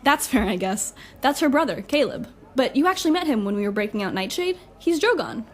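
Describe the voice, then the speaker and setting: A woman's voice: fairly high pitch, big pitch swings, brisk. A woman acting a brash, encouraging superpowered party host in an audio drama, breezy and teasing. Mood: reassuring, teasing.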